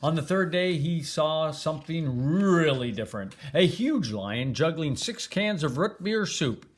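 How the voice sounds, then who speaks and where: An older man reading a children's storybook aloud in an expressive, grandfatherly way, stressing and stretching words. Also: on the high side, unhurried.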